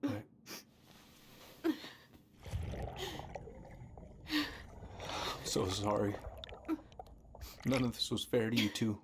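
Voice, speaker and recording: A man playing a concerned middle-aged uncle in a naturalistic video game drama, gravelly, earnest and halting. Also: lowish voice, steady tone, noisy background. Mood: tender, sorrowful. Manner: earnest, halting, repeats words. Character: concerned, gentle middle-aged uncle consoling his niece.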